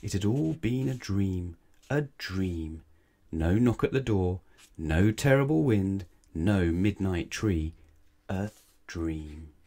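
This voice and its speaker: A man's voice: low-pitched, lively intonation, slow, mellow. A man reading a children's bedtime story aloud, dramatically, stretching and stressing words. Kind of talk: expressive read-aloud bedtime narration.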